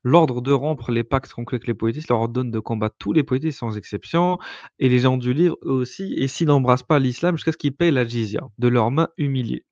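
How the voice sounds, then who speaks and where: A man's voice: medium pitch, fairly quick, a little mellow, no echo. A man explaining religious rules in a casual discussion, hesitant, with many fillers and restarts. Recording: dull lo-fi sound, dry.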